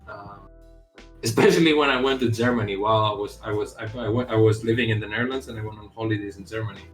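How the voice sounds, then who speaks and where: A man's voice: on the low side, fast, reverberant, faint music behind, poor audio. A man game developer chatting casually on a live stream, rambling with lots of "like" fillers and the odd swear word.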